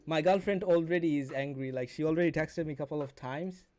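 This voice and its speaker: A man's voice: on the high side, moderate pace, darkish tone. A man in his twenties hosting a casual live stream as a mentor, teaching and chatting to viewers, repeating and rephrasing as he goes. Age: 20s. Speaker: day trading mentor and live stream host.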